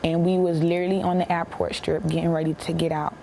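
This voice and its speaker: A young American woman giving a personal account in an interview, plain-spoken and halting, repeating herself for emphasis. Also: on the low side, flat delivery, creaky, mellow, a little hiss or hum, low-quality recording. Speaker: interviewee, bereaved military widow.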